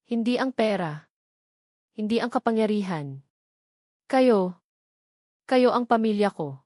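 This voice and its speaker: A woman's voice: medium pitch, expressive, slow, warm tone, no echo. A woman plays a devoted young father in a romantic audio drama. He speaks earnestly and emphatically about those he loves, in a dry, close recording. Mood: sincere, pleading. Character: devoted, sincere young father pleading for his family.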